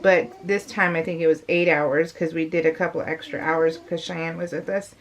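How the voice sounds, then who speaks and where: A woman's voice: sits low, little pitch movement, normal speed. A middle-aged woman vlogger chatting casually in a rambling, emphatic, confiding way. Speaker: craft vlogger. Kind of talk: casual chat.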